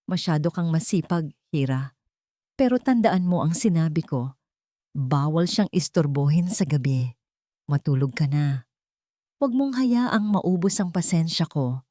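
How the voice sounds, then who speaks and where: A woman's voice: deep voice, animated, a little slow, pauses often, warm tone, no room sound, clean studio sound. A woman voicing a bitter, scheming rich matriarch in an audio drama, commanding and threatening. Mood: cold warning. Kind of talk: Filipino audio drama acting.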